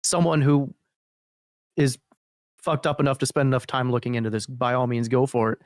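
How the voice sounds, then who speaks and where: Male podcast co-host, average pitch, casual blunt banter with swearing, very dry recording. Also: fairly quick, no echo. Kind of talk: casual joking chat about horror videos.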